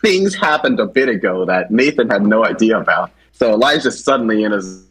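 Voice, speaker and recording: A man's voice: lowish voice, expressive, fairly quick, fairly bright tone, slightly rough, no room sound, low-quality recording. A man casually discussing fictional characters as a co-presenter, informal and often rephrasing his points.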